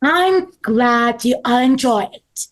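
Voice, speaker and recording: Woman acting cheerful trip organizer, very high voice, slow deliberate delivery, upbeat, table read. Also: expressive. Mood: pleased. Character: cheerful, organized group leader, eager and generous.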